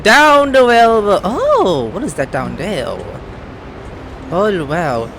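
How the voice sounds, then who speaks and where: An excitable gaming YouTuber giving casual, slangy commentary, jumping between muttered asides and squeals while playfully talking to game characters. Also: slow pace, a little hiss or hum. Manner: exclamatory, swings from muttered asides to high squeals.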